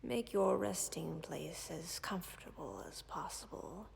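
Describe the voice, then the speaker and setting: A woman voicing a calm, ancient female dragon who tends a wounded human, speaking in a whispery, gravelly, measured and formal way in an audio roleplay. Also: on the low side, in a large hall, heavily acted. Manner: measured, formal, dryly commanding.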